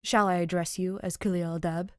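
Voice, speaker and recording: A woman's voice: lowish voice, level tone, normal speed, no echo, clean studio sound, close to an everyday voice. A woman voicing a formal, condescending teenage boy with clipped speech in an audio story. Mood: haughty.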